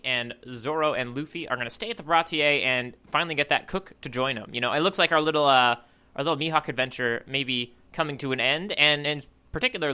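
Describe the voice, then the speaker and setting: A man co-hosting a podcast, casually recapping a story in a halting way with frequent "you know" asides. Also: medium pitch, sharp tone, clear, resonant tone. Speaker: podcast co-host recapping a manga chapter.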